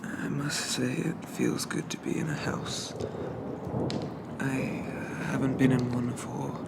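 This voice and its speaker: A man playing a shy, polite undead zombie in a soft ASMR roleplay, stammering and hesitant in a gently formal way. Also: medium pitch, steady tone, takes it slowly, gravelly, heavy background noise. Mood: timid, reassuring.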